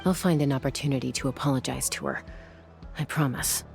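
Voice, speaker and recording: A woman's voice: a bass voice, brisk, creaky, faint music behind. An actress playing a sensitive young woman, a hesitant and earnest peacemaker in a video game. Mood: sheepish.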